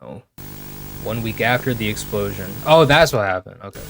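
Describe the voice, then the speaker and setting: A man's voice: fairly low pitch, expressive, moderate pace, a bit bright, a little hiss or hum, poor audio. A young man hosting a reaction video, commenting casually in slangy speech. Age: young adult.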